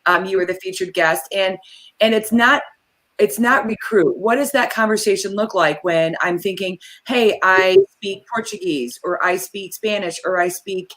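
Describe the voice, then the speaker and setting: A woman hosting a casual interview on a video call, energetic and chatty, often restarting her sentences. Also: medium pitch, normal speed, rough recording. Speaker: host.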